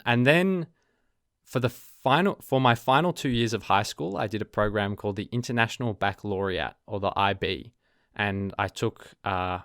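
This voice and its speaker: A young man with an Australian accent talks through a solo podcast in a relaxed, reflective way, stopping to rephrase and filling gaps with ums. His voice sits fairly low and comes across smooth and resonant. The sound is close and dry, with no room echo. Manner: thoughtful, self-correcting, frequent ums. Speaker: podcast host. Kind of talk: casual solo podcast talk.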